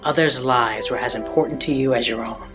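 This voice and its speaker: A man's voice: mid-range voice, brisk. A man reading a solemn, scripted tribute about comrades in battle. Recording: reading over loud music.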